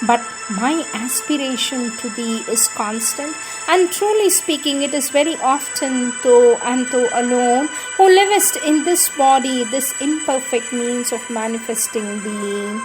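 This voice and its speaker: A woman reading devotional prayers aloud in a measured, reverent and prayerful manner, as for an audiobook. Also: fairly high pitch, over music, low-quality recording.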